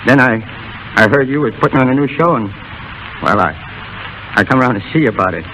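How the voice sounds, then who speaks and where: A man's voice: medium pitch, lively intonation, fast talker, highs cut off, natural voice. An actor playing a down-and-out, defensive showman who pleads in faltering slang, in 1930s radio style. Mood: dejected, pleading. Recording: hissy vintage 1930s radio broadcast.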